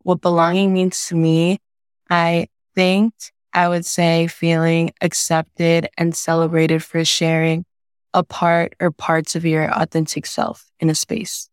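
A woman's voice: fairly low pitch, completely flat delivery, slow pace, clean tone, no room sound, clean studio sound. A young woman from New York, a student intern, introducing herself carefully and reflectively. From Queens, New York.

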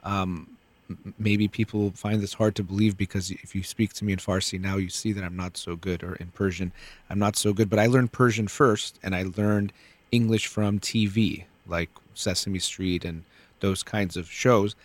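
A man with a low voice hosts a call-in advice show from a radio studio. He talks in a calm, measured way, loose with his fillers. The sound is dry and close, with no room around it. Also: no echo.

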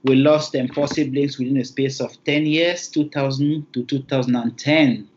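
Cameroonian-accented man, native Lamso speaker, measured formal interview answers, mid-pitched voice, slightly dull lo-fi recording. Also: fairly even intonation, darkish tone, a little hiss or hum. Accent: Cameroonian English. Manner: careful, formal phrasing with thoughtful pauses. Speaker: interview guest.

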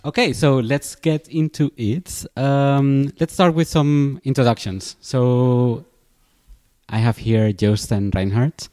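A man's voice: mid-range voice, slow pace, warm tone, roomy sound, faint music behind. A man hosting a podcast interview, speaking unhurriedly with frequent fillers. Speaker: podcast host.

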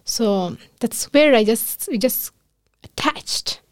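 A woman's voice: on the high side, lively intonation, slow pace, no room sound. Earnest Afghan-accented woman, dry sound. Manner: earnest, emotional storytelling with quoted speech and laughter. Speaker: guest, physician. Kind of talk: casual interview conversation.

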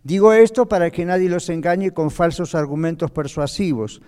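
A man leads a church Bible class, chatting with the room and pausing to ask if everyone follows before he reads the passage aloud. His voice sits fairly high yet sounds mellow, and a long hall reverb trails behind each phrase. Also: clear, resonant tone. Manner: conversational, checks in with "¿ok?". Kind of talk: Bible class teaching and scripture reading.

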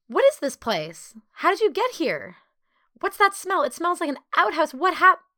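A woman voicing an excitable, outspoken schoolgirl in a storybook, exclaiming and questioning. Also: very high voice, moderate pace, no room sound, clean studio sound, voice pushed up, heavily acted. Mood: bewildered.

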